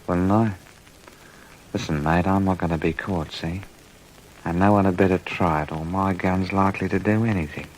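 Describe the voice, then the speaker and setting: An actor playing a hard, domineering armed burglar with an Australian accent in an old radio crime drama, curt and menacing. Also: male voice, low voice, steady tone, normal speed, a little hiss or hum, natural voice, rough recording. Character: hard, bossy armed burglar running the job.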